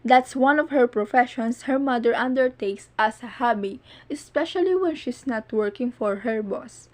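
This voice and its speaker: A woman's voice: fairly high pitch, moderate pace, a little mellow. A woman giving scripted narration for an amateur cartoon story.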